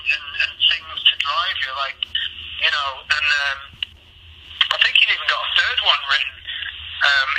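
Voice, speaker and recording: A man with a Mancunian accent from Manchester, an actor answering interview questions in a halting way, full of ums and restarts. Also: fairly high pitch, noisy background. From Manchester, England. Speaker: actor guest.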